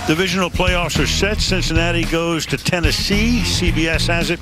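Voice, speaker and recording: An older man calling a football broadcast from a stadium booth on a headset mic, in a smooth, measured announcer's delivery. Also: fairly high pitch, over loud music. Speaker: play-by-play announcer. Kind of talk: broadcast sports commentary.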